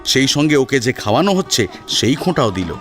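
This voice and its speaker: A man's voice: medium pitch, sing-song, a little fast, fairly bright tone, soft background music. A man narrating a scripted story in a dramatic storytelling style.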